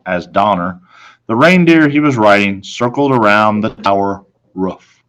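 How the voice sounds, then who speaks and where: Lowish male voice reading a kids book out loud, dramatic, deliberate phrasing. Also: animated. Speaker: story reader, teacher. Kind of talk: expressive read-aloud of a children's novel.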